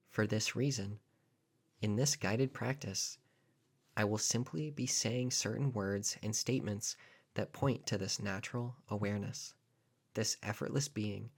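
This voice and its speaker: Low-voiced man guiding a meditation. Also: gravelly. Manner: measured, deliberate phrasing with pauses. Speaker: meditation teacher. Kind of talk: guided meditation narration.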